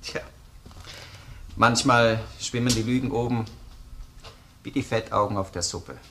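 An actor playing a dry, courteous yet probing Austrian police detective in a crime drama, with measured ironic politeness. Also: male voice, medium pitch, background noise, lightly acted. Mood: probing, grave. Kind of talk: crime radio play acting.

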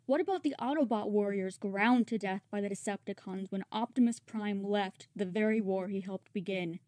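A woman's voice: mid-range voice, dark tone, dry recording, clean studio sound, close to an everyday voice. A woman playing a battle-hardened, bitter robot warrior, stern and weary, in a dramatic comic dub.

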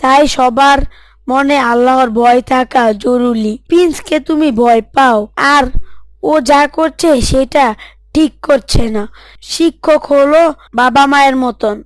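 A woman's voice: sits high, unhurried, clean tone, no room sound. A woman voicing a devout, principled schoolboy in a story, speaking earnestly, politely and a little preachily.

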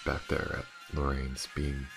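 A man playing a world-weary but tender detective in an intimate audio roleplay, with halting, shy, stammering speech. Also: monotone, music in the background, full character voice. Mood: somber, halting. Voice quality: very deep, gravelly, husky. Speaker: voice actor. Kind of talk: audio roleplay, intimate acting addressed to the listener.

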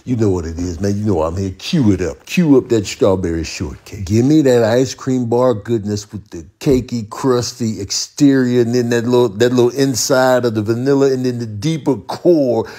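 A male comedian giving a comedic rant in slangy, emphatic, deadpan exasperation. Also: mid-range voice, moderate pace, few pauses. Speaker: comedian commentator.

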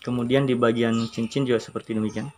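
A man's voice: mid-range voice, steady tone, quick pace, slight background noise. A man in his thirties filming himself outdoors with a handheld phone, chatting upbeat to viewers as he reviews fishing gear. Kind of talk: casual product review vlog. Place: outdoors by a school building. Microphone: handheld phone camera close up.

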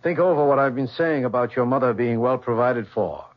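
A man's voice: mid-range voice, brisk, close to an everyday voice. A middle-aged man acting in a 1970s radio drama as a domineering, self-assured, wealthy father. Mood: cool, firm. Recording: muffled vintage radio recording.

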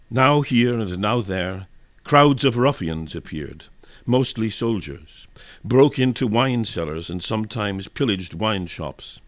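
Male narrator, lower-pitched, dry close sound, formal scripted radio reading of history. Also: no room sound. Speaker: narrator and reader.

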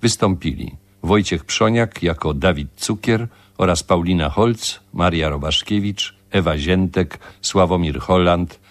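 A man's voice: low-pitched, a little slow, few pauses. A man reading the closing credits of a radio play as a scripted announcement.

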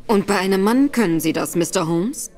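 A woman playing a clever young actress who is in disguise as a gentleman and speaks coolly and sharply. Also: medium pitch, animated, fairly quick, natural voice. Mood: cool, probing. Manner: cool, sharp-tongued. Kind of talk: German audio drama acting. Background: background score.

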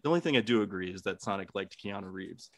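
A man's voice: fairly low pitch, expressive, fast talker. A man in an informal comedic debate, arguing bluntly with casual swearing. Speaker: debate contestant. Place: home room, indoors.

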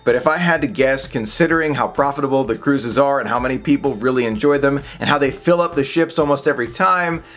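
A man's voice: medium pitch, quick pace, hardly any pauses, fairly bright tone. A man in his thirties talking casually to the camera from a small home office, upbeat and chatty, gesturing as he speaks. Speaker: vlogger.

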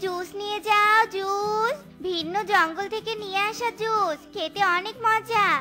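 Lady doing honest hardworking mama bird for a kids cartoon, super high and expressive, music behind. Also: unhurried. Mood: cheerful. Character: honest, hardworking mother bird selling juice. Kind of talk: children's cartoon voice acting. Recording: music under the voice.

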